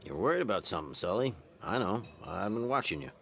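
An actor playing a shrewd, world-weary bar regular in a radio drama, a knowing and probing friend. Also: male voice, lowish voice, big pitch swings, quick pace, gravelly, fairly bright tone, exaggerated voice. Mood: wry, probing.

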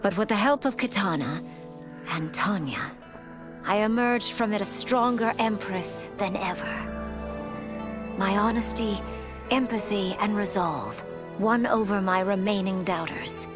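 An actress playing a proud, earnest empress, narrating a game ending over music. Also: female voice, mid-range voice, a little slow, lightly acted. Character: proud young empress with a hidden affliction.

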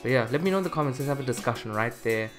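A man's voice: mid-range voice, animated, rapid-fire. A young man giving casual, informal reaction commentary to camera, thinking aloud as he goes. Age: young adult. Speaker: YouTuber reviewing game trailers. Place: small room at a computer desk, indoors. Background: background beat music.

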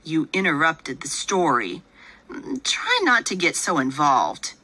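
A woman reading a picture book aloud in a gentle, patient storytelling manner, voicing the father. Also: sits low, big pitch swings, unhurried, no room sound, rough recording.

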